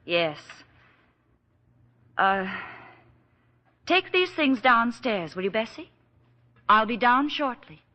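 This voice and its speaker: A woman's voice: a little high, very animated, slow pace, long pauses, bright, forceful tone, slight background noise, exaggerated voice. An actress playing a well-off young woman in old-time radio drama, with polished, theatrical speech on a muffled recording. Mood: uneasy. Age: young adult. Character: well-off young woman, hopeful but guarded.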